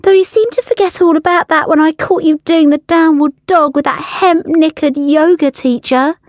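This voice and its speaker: Reader doing a surly waitress girl from a story, high voice, sharp and wounded. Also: moderate pace, no echo, studio quality, natural voice. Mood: hurt, accusing. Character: slim, surly young waitress, cutting yet wounded.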